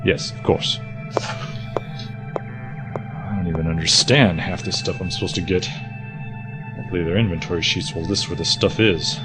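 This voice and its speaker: Guy playing uneasy grumbly worker, deep voice, music behind him. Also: a little slow, pauses often. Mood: grumbling. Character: grumbling, anxious male supply worker helping rebels. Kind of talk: audio drama acting.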